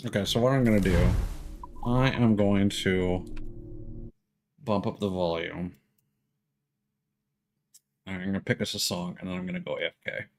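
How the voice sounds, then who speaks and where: A man's voice: sits low, fairly even intonation, normal speed, gravelly, pauses often. A man chatting casually as a live streamer while he plays a game. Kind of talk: casual chat over gameplay.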